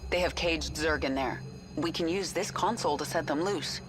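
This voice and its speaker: A woman's voice: low-pitched, normal speed, natural voice. An actress as a fierce commanding woman fighter. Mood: resolute. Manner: commanding, intense, defiant. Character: fierce, determined young woman, battle-hardened psionic fighter. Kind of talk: video game cutscene acting. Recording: loud game music behind. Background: music.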